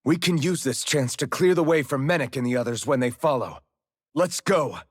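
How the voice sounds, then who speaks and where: An actor playing a loyal, determined young rebel hero in a video game, earnest and resolute. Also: male voice, medium pitch, animated, normal speed, noisy background, lightly acted. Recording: video game music behind.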